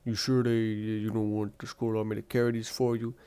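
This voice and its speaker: A man's voice: on the low side, little pitch movement, fairly quick, dark tone, clean tone, close to an everyday voice. A man voicing a small, sassy female squirrel sidekick in a comic dub, skeptical and nagging. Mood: doubtful. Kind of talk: comic book dub voice acting.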